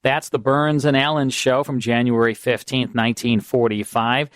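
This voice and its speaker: A man's voice: medium pitch, fairly even intonation, normal speed, very noisy. A man with an American accent hosting a radio programme in a casual, chatty style. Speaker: host of an old-time radio programme.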